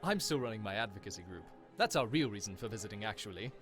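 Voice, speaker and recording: A man playing a friendly, eager advocacy group leader making a persuasive pitch in an audio comic. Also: lively intonation, fast, fairly bright tone. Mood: upbeat. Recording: music behind the voice.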